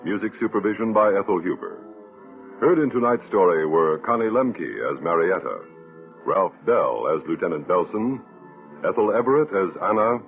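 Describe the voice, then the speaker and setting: A man announcing in formal, dramatic mid-century radio style, reading scripted credits. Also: low-pitched. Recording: tinny old radio, faint music behind.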